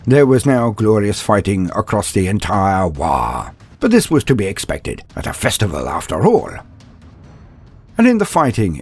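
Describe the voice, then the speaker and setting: A man's voice: on the low side, darkish tone, husky. A man narrating a comedic fantasy story in a mock-epic, dramatic storytelling manner.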